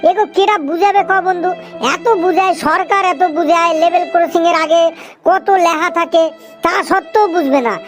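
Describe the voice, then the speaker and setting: Chatty young cartoon pal, extremely high-pitched, eastern Bengali dialect, earnest, scolding, colloquial. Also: steady tone, normal speed, over music. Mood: indignant, scolding. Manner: preachy, emphatic, colloquial. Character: talkative food-loving young cartoon friend, earnest and scolding. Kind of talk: cartoon dialogue with moral advice.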